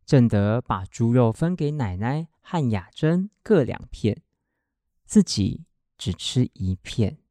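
A storyteller narrates a children's radio drama from a script. The delivery is animated and widely varied in pitch, recorded dry with little room sound. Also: slow, dry recording.

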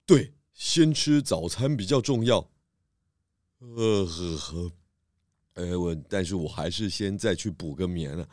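Low-voiced, easygoing pig detective. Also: male voice, measured pace, noisy background. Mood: sleepy, unbothered. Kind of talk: children's detective audio drama.

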